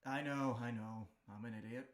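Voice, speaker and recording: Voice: medium pitch, steady tone, moderate pace, a crowd in the background, voice pushed down, lightly acted. Player voicing a sleepy, bumbling man. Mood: sheepish. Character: sleepy bumbling man who calls himself an idiot.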